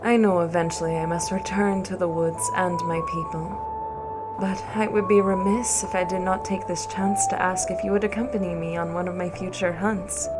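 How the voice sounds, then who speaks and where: A woman's voice: lowish voice, close to an everyday voice. A woman playing a gracious, deferential noble huntress in a fantasy audio drama, speaking in a formal, polite way. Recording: loud music under the voice.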